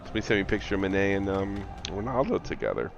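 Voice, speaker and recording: A man's voice: deep voice, sing-song, rapid-fire, creaky, muffled recording, faint music behind. A man co-hosting a soccer watch-along stream, talking in a rambling, profane and emphatic way. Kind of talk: casual opinionated sports chat.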